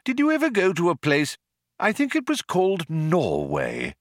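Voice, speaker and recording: Man voicing a courteous old alien planet builder, swooping pitch. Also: wildly swooping pitch, normal speed, dry recording, studio quality. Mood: mildly put out. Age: older. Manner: polite, mild, cheerfully understated. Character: elderly alien planet builder, genial, courteous, mildly vague. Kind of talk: audiobook character voice.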